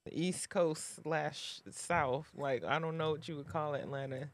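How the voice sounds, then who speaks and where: Slow-talking giggly man, higher voice. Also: steady tone, dry recording. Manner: giggly, asks playful questions. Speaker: interview host. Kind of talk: casual interview chat.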